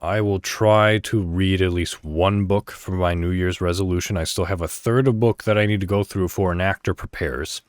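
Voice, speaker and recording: A man's voice: sits low, steady tone, moderate pace, few pauses, no room sound, studio quality. A man hosting a solo podcast in a casual, conversational and somewhat rambling way. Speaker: podcast host. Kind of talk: casual solo podcast talk, reading articles aloud.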